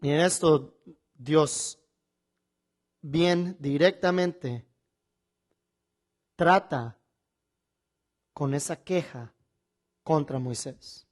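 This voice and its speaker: Man preaching with deliberate, emphatic phrasing. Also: fairly high pitch. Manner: deliberate, emphatic phrasing with rhetorical questions and repetition. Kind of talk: Bible teaching sermon.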